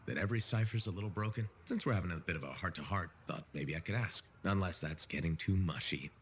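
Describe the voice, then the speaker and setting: A man playing an easygoing, sincere pilot hero in a sci-fi video game, talking casually with gentle teasing. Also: sits low, quick pace, slight background noise, lightly acted. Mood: warm, teasing. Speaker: video game player character. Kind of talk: acted game dialogue.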